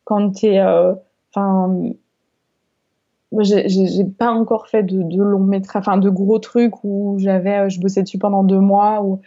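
Young woman, interview guest; halting, restart-prone delivery; warm low-energy timbre. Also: little pitch movement. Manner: hesitant, many fillers and restarts. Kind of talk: casual interview chat. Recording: dull lo-fi recording.

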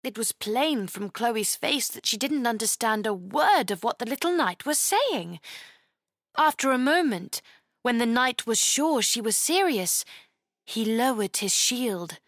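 Female children's storyteller: animated intonation, slow, careful pacing. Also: a little high, dry recording, clean studio sound. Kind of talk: scripted children's story narration.

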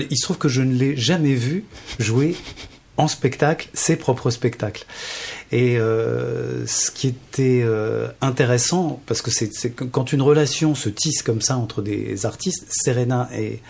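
A man, the stage director of the show, explaining his work in a radio interview, halting often as he searches for words. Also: mid-range voice, creaky, a little mellow.

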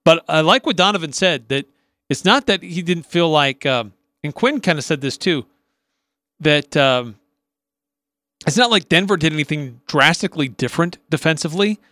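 Higher-pitched American man, sports radio co-host. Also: animated, moderate pace, bright, forceful tone, dry recording. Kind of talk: sports talk radio analysis and chat.

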